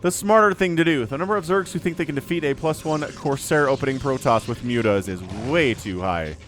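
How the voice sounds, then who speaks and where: A man casting a strategy game match alone, excitable and jumping into yelps at big plays, his voice slightly scratchy from a cold. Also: a little high, fairly quick, faint music behind. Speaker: StarCraft shoutcaster. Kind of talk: solo game commentary.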